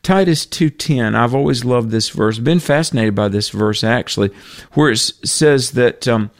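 A man in a conversational faith discussion on a radio show, speaking earnestly at a middle pitch. He quotes verses and restarts his sentences often. The sound is dry and close, with no room around it. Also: normal speed, no echo. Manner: earnest, quotes scripture, frequent fillers and restarts.

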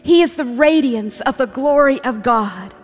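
A woman Bible teacher speaking in deliberate, measured phrases as she teaches and recites scripture. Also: high-pitched, animated, soft background music.

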